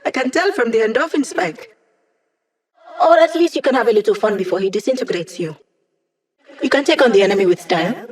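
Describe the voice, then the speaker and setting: A woman's voice: a little high, close to an everyday voice. A woman playing an upbeat, wisecracking young hacker. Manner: casual, playful, teasing. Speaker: voice actor. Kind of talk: video game voice lines.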